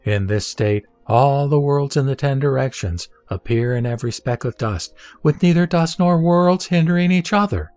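A man reading Buddhist scripture as scripted narration, in measured, deliberate short phrases. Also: mid-range voice, darkish tone, dry recording. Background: background music.

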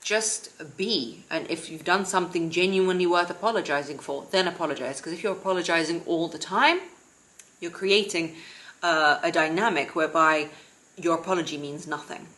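Calm low-voiced woman vlogging from a sofa. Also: moderate pace. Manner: calm, self-described monotone, steady delivery. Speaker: vlogger and confidence coach. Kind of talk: casual advice vlog to camera. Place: living room, seated on a sofa.

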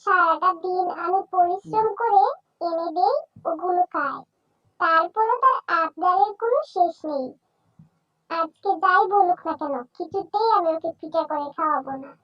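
Cartoon village wife, acted by a woman: extremely high voice, indignant, scolding, overworked, recorded at a distance. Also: normal speed, dull audio. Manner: nagging, indignant. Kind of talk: cartoon voice acting.

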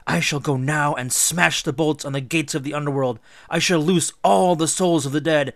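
A spoilt, vengeful goddess from a myth , played by a man, pitched up and petulant. She demands and threatens in furious outbursts, recorded close and dry. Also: lively intonation, normal speed, no room sound. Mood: menacing.

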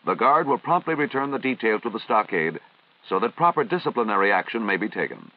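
An American man reading formal, measured, instructional narration in the style of a 1950s military training film. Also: lowish voice, sing-song. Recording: dull, band-limited vintage film soundtrack.

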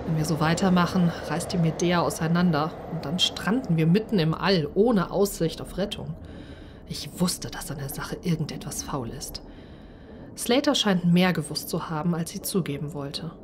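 Expressive woman narrating over noisy background. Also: lowish voice, moderate pace. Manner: expressive, acts out the character's speech. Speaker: narrator and player of a solo role-playing game. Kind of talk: first-person dramatised story narration.

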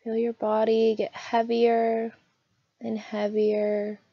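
A woman narrating a scripted guided meditation in a soothing, gentle, unhurried manner. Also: on the high side, completely flat delivery, dry recording, lo-fi sound.

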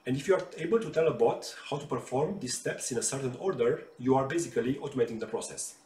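A man's voice: medium pitch, lively intonation, quick pace, a little mellow, recorded from a distance. Man explaining to kids in an echoey room. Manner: simple, patient explanations with hand gestures. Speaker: RPA developer teaching kids. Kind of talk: friendly explainer for children. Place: bright living room with sofa. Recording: roomy echo, voice from off-mic.

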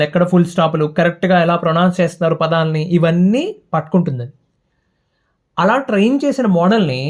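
Telugu guy explainer, talks really fast, high voice, casual, keeps asking questions then answering them. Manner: informal, colloquial, mixes in English terms. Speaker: host of a legal awareness channel. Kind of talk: conversational explainer to viewers.

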